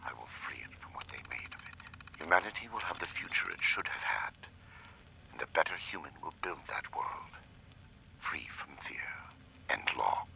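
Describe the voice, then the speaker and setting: An actor playing an arrogant, idealistic scientist who dictates video game research logs in a deliberate, gravelly, breathy voice. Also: male voice, rumbling low, lively intonation, background noise, full character voice. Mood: fervent resolve. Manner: deliberate, measured, disdainful. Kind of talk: dramatic video game audio log.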